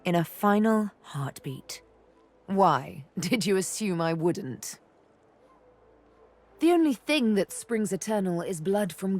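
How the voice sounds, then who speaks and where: Slow, teasing elf rogue. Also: female voice, mid-range voice. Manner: playful, flirtatious, theatrical, darkly threatening, savoring words. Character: sly elf woman rogue, former slave, teasing and menacing. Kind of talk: video game character acting.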